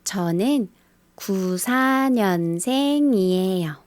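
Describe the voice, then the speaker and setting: A woman teaching a beginner language lesson, speaking in a slow scripted style and carefully repeating the example phrases. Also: medium pitch, lively intonation, darkish tone, no echo. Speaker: Korean teacher.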